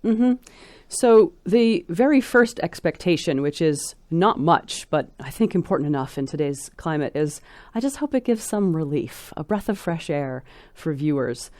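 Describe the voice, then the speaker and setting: A woman speaking as a scholarly interview guest, articulate and precise, phrasing her thoughts in a measured, thoughtful way. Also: deep voice, lively intonation, a little mellow, no room sound.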